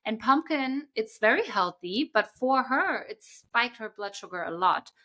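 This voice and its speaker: Bright-voiced woman co-hosting a chat. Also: fairly high pitch, expressive, a little slow. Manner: thoughtful, explains at length with many fillers. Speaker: podcast co-host. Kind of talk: casual chat.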